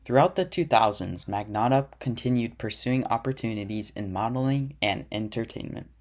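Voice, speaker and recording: A man reading scripted documentary narration in an even, measured way. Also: medium pitch.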